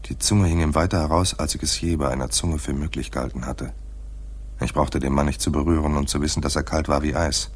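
An actor playing a laconic hard-boiled private detective in a noir radio play, with a dry, terse delivery and a husky voice. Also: male voice. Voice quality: husky, very deep. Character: hard-boiled male private detective narrating his own case. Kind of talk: noir radio play, first-person narration.

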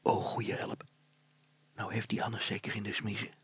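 A man's voice: fairly low pitch, monotone, moderate pace, a bit bright, breathy edge, dry recording, whispered. A man voicing a worried, cautious, muttering man in a children's story. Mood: anxious, hushed. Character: Brilstra, worried, hesitant man searching for his boy.

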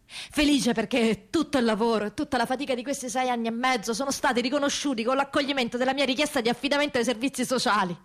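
Actress as high-voiced, proud freed woman prisoner. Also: fast, close to an everyday voice. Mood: proud, determined. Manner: emphatic, insistent listing. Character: freed female ex-prisoner, proud, relieved, determined. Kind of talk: theatrical first-person monologue.

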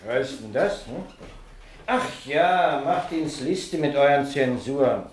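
Wry male teacher in a radio play, ironic, pitch swings around, echoey room. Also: lively intonation. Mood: teasing irony. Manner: ironic, deliberate, thoughtful humming. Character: wry, teasing male schoolteacher, gently stern professor. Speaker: actor. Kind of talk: German radio play acting. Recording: roomy classroom-like echo.

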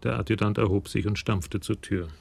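Male narrator for radio crime drama: low, creaky voice, sober scripted delivery. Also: steady tone, fairly quick, dry recording.

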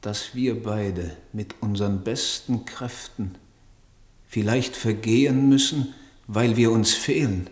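An actor playing an idealistic, embittered young poet in a literary radio play, declaiming solemnly and rhetorically. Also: male voice, fairly low pitch, slow pace, slightly rough. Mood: melancholy resolve. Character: idealistic, embittered young male German poet, brooding and impassioned.

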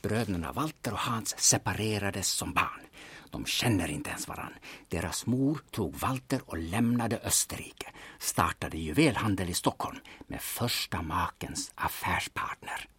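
An actor playing a cynical, blunt, secretive grave robber in an audio drama, telling things in a dry manner. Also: male voice, deep voice, animated, a bit bright, husky, no echo, heavily acted. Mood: conspiratorial.

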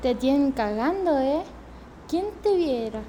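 A woman plays a teasing schoolgirl in a radio play. Her voice is high and unhurried, with clear noise in the recording. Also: animated, warm tone. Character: teasing, dreamy schoolgirl friend. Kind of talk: amateur radio play acting.

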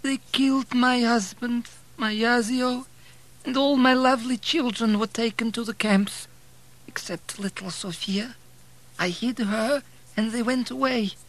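Guy doing a toothless old granny for an audiobook, really high quavery voice, querulous and suspicious. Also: steady tone, a little slow, a bit bright, full character voice, poor audio. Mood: resigned, dull. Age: elderly. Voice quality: thin, cracked, quavering. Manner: querulous, whining. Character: querulous, toothless, frail old Polish peasant woman, suspicious.

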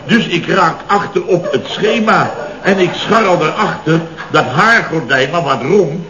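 Blustering, higher-voiced contractor boss. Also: male voice, expressive, measured pace. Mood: exasperated storytelling. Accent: Netherlands Dutch. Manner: indignant, long-winded storytelling with exasperated emphasis. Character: blustering, put-upon male building contractor boss recounting mishaps. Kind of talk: 1970s radio comedy acting. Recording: dull old radio recording.

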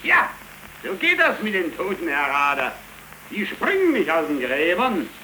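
High-pitched cynical young man, mocking. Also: wildly swooping pitch, measured pace, pushed and bright, exaggerated voice. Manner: mocking, declamatory. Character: cynical, hungry, scornful young unemployed man. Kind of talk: 1930s German radio play acting. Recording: noisy 1931 radio play recording.

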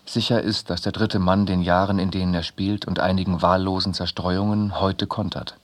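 A man reads literary prose for a radio play. His voice is very low and husky, with a rough, unpolished grain to it. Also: flat delivery, normal speed. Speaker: reader in a radio drama.

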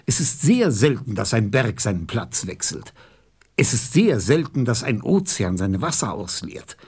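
A pompous old geographer in a radio play. The voice is husky and slow, with big swings in pitch. Every statement comes out pedantic and full of self-importance. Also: male voice, very animated, a little mellow.

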